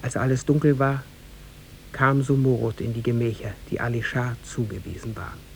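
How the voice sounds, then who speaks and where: A man narrating a tale in measured, formal storytelling style for a 1950s German radio play. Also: fairly even intonation. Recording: 1950s radio recording with faint rumble.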